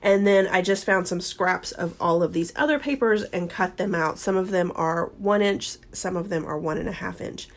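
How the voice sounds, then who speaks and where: A woman with a slightly low voice talks through her scrapbooking work in a relaxed, explanatory voice-over. Her voice is hoarse and crackly because she is getting over an illness. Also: normal speed, hardly any pauses. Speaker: scrapbooking channel host. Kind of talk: casual voice-over narrating a craft process.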